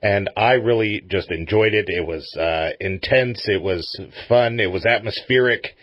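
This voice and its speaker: A husky man in middle age hosts a casual radio interview. His voice sits on the low side, close and dry, with a slightly lo-fi dullness. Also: unhurried, no room sound. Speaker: radio show host.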